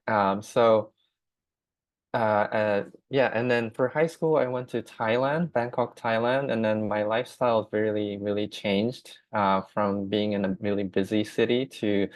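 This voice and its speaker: A man from Hong Kong whose first language is Japanese, giving a slide presentation about his work as a glass artist, speaking haltingly with many fillers and restarts. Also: on the low side, gravelly, dry recording.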